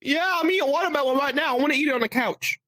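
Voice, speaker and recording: A young woman co-hosting a casual podcast, teasing and bossy in a lively way. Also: sits high, very fast. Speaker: podcast co-host. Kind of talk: casual chat.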